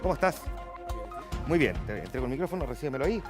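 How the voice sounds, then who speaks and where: A man moderating a televised debate with a Chilean Spanish accent, brisk and upbeat as he directs the floor. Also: medium pitch, very animated, music in the background.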